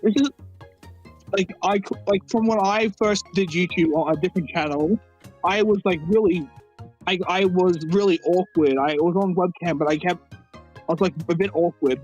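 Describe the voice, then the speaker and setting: Guy with a pretty high voice, stutters a bit, chatty stream talk, faint music behind. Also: moderate pace, darkish tone, dull audio. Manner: stutters, repeats words, earnest advice. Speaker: co-host. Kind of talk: casual livestream chat.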